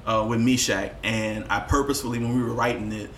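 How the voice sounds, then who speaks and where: A man of medium pitch talks candidly as a podcast guest. He tells stories in a thoughtful, expressive manner and swears casually. The room adds clear echo, with faint noise behind him. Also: a little fast. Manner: reflective, expressive storyteller who swears casually. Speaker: interview guest, musician.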